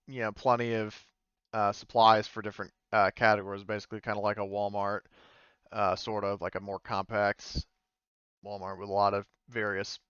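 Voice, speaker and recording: A man's voice: fairly low pitch, fairly bright tone, smooth, dry recording, dull audio. A man narrating an improvised tabletop game as game master, tentative and often thinking aloud. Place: indoors, online voice call.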